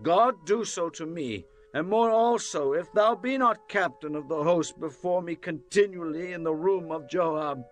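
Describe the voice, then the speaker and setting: A man's voice: on the high side, a little slow, few pauses, soft background music, lightly acted. A man playing a commanding, impassioned king in a scripture audio drama, declaiming in archaic language. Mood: urgent appeal.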